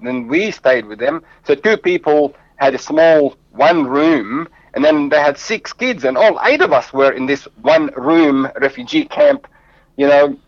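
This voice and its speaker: A man's voice: on the high side, dry recording, dull audio. A man whose first language is Arabic and who grew up in Lebanon, answering interview questions in English with earnest, heartfelt storytelling and frequent restarts. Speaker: guest.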